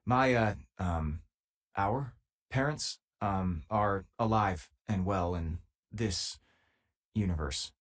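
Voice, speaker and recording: An actor playing an easygoing, loyal and wry space miner companion in a video game, with a husky, conversational delivery. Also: male voice, low voice, takes it slowly. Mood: earnest, wry.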